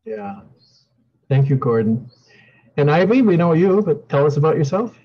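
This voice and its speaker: A man's voice: a little high, lively intonation, normal speed, slightly rough, lo-fi sound. Hesitant retired man hosting a webinar. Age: older. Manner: hesitant, many fillers. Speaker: co-chair and meeting host.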